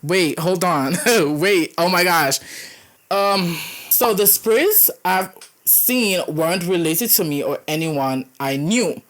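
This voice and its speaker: A woman's voice: lowish voice, expressive, slow pace. A young woman, reading horror stories aloud and breaking in with dramatic, reactive commentary, often stumbling over the typos. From Caribbean.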